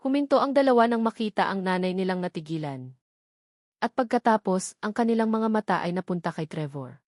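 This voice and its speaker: A woman narrating a scripted romantic drama in a solemn, measured way, pausing for suspense. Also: mid-range voice, lively intonation, darkish tone, dry recording, clean studio sound. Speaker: story narrator.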